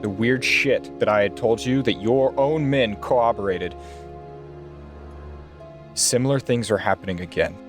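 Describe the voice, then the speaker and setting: Man playing a blunt, low-voiced private investigator. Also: over music, close to an everyday voice. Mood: measured, serious. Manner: blunt, measured, earnest. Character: male private investigator, blunt and steady under pressure.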